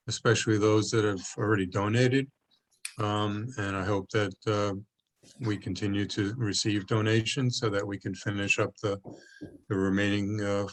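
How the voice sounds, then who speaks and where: Hesitant low-voiced man hosting a call. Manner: hesitant, many fillers and restarts. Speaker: meeting organizer. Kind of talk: online video call meeting. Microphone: video call.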